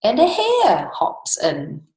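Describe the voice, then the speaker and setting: A woman with a New Zealand accent reading picture books aloud to young children, in an expressive sing-song way with chatty asides. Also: on the high side, takes it slowly. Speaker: storytime reader for young children. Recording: echoey room.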